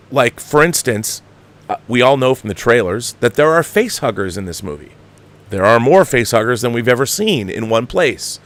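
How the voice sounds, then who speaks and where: Emphatic man with very animated pitch. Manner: emphatic, exasperated, repeats phrases and restarts for emphasis. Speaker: film review show host. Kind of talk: opinionated movie commentary, conversational.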